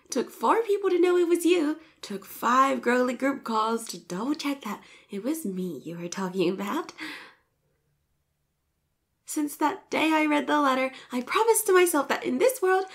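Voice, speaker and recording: High-pitched, shy, flustered schoolgirl crush, played by a woman. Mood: gushing, excited, then tender, shy. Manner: bashful stammering, breathy whispered asides. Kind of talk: romantic roleplay voice acting.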